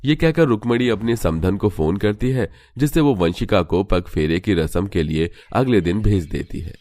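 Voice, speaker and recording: A man's voice: lowish voice, expressive, moderate pace, darkish tone, no echo. A man reading scripted storybook narration for a cartoon.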